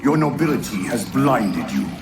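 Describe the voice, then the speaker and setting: An actor playing an ancient, scornful alien warrior villain in a video game, speaking in a grandiose, theatrical, contemptuous manner. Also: male voice, medium pitch, very animated, moderate pace, distant mic. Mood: cold contempt. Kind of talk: video game cutscene acting. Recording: roomy echo, orchestral music behind.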